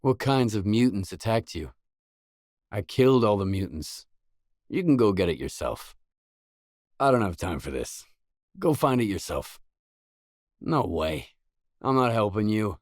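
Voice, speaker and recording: An actor playing a gruff, guarded lone mercenary in a video game, speaking in a terse, stern, matter-of-fact way. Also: male voice, fairly low pitch, big pitch swings, measured pace, pauses often, a little mellow, dry recording, clean studio sound. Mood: blunt, irritated. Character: gruff, guarded lone mercenary man, blunt and cool-headed. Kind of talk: scripted game dialogue lines.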